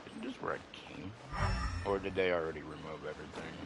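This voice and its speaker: Guy playing a game, low voice, casual muttered commentary, loads of noise in the background. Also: expressive, brisk. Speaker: gamer recording a playthrough.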